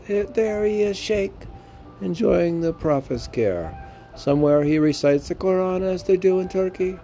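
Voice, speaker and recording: A man talking casually in a halting, musing, repetitive way and reciting poetry as a podcast host, on an amateur recording. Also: high-pitched, warm tone, soft background music. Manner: halting, musing, repeating phrases. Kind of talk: rambling casual talk and poem recitation.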